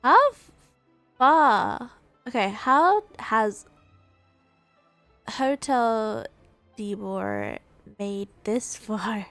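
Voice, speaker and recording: A woman gives casual gameplay commentary in a highish, very animated voice. She takes her time, dropping short reactions over faint game music. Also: extremely slow, long pauses, dry recording. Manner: short, surprised interjections. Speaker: game streamer.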